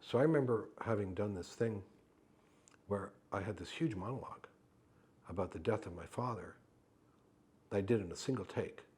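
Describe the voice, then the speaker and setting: Man, native Yiddish speaker, interviewee, storytelling; deep and husky, animated, acts out the dialogue he quotes. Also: normal speed, pauses often. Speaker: actor interviewee. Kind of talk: anecdotal storytelling in an oral history interview.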